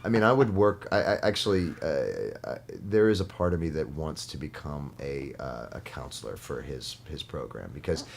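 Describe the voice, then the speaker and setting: Very deep-voiced man in casual studio chat. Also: animated, gravelly. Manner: earnest, halting with many restarts. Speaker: guest. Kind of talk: casual podcast conversation.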